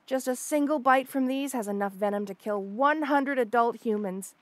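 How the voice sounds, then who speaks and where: Woman doing a high voiced yandere lamia girl, clingy and jealous, stammery, very dry close sound, audio rp. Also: moderate pace, dry recording, close to an everyday voice. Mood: boastful. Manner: flustered, stammering, gushing, boastful. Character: obsessive, jealous, eager rattlesnake lamia monster girl. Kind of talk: intimate audio roleplay addressed to listener.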